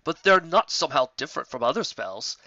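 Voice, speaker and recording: Man voicing a pompous, indignant stallion, bright-toned. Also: on the high side, sing-song, brisk, no echo, dull audio, exaggerated voice. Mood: pompous, threatening. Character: self-important, indignant male pony translator.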